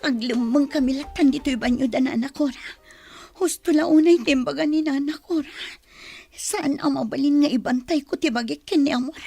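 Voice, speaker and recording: A young mother in a radio drama, desperate and easily moved to tears. The voice is very high and pleading, full of emotion, and recorded dry. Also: normal speed, gravelly, mellow, no room sound, soft background music. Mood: anxious, weary. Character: distressed young mother fleeing an abusive partner, tearful, pleading.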